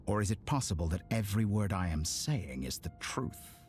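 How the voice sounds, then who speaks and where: A pompous, theatrical villain scientist, swooping delivery. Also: male voice, on the low side, sing-song, normal speed, a little mellow. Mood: smug. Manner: pompous, mocking, mannered speech. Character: arrogant theatrical male villain scientist with godlike ambition. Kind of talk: scripted video game voice acting. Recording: game audio with music underneath.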